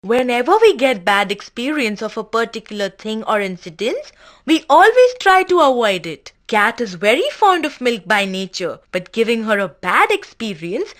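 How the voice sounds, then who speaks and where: Woman, kids' show presenter, high voice, sing-song, cheerful, teacherly. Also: sing-song, normal speed. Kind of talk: teacher-like explaining to kids.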